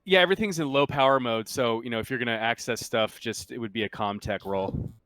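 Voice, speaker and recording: A man's voice: mid-range voice, fairly bright tone, no echo. Guy running a tabletop rpg, explains stuff casually, stop and start, super dry audio. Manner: conversational, halting, explanatory. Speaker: game master. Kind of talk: improvised narration of a tabletop game.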